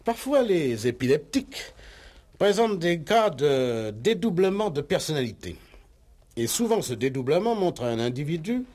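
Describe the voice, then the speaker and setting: A man's voice: fairly high pitch, big pitch swings, moderate pace, darkish tone. A man acting in a French radio play as an actor who plays a police doctor, bemused and wary. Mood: grave, explanatory.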